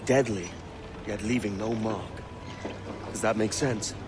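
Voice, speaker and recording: A rugged pirate captain acted by a man speaks low, grave and resolute, in weary, plain words. It is game cutscene drama with clear noise behind the voice. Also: fairly even intonation, measured pace, close to an everyday voice. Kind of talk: video game cutscene acting.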